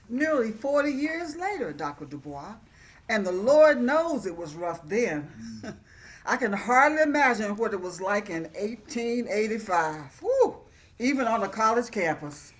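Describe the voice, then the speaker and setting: A woman playing a thoughtful, curious poet in a dramatic reading, speaking in a deliberate, expressive, reflective way. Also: a little high. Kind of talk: dramatic reading of historical dialogue.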